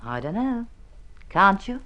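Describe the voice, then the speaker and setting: A woman's voice: mid-range voice, very animated, slow, bright, forceful tone, exaggerated voice. An actress playing a blunt, motherly, nosy neighbour in an old radio drama, with a pointed, knowing delivery.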